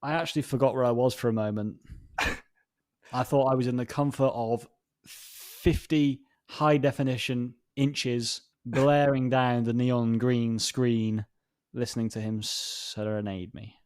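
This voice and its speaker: Male co-host, medium pitch, casual discussion with wry, wordy delivery. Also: fairly even intonation, unhurried, darkish tone. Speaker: podcast co-host.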